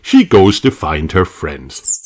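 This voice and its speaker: A man narrating a children's storybook aloud in an expressive, deliberate way for small children. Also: deep voice, noisy background. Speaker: audiobook narrator.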